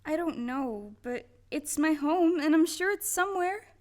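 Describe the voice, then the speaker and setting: A woman's voice: sits high, lively intonation, unhurried. A woman playing a polite, plucky young farm girl in an audio play. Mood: polite, earnest.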